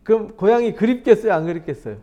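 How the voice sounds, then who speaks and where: A middle-aged man from South Korea teaching a Bible study as pastor, chatty and animated, asking rhetorical questions. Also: high voice, quick pace, dull audio.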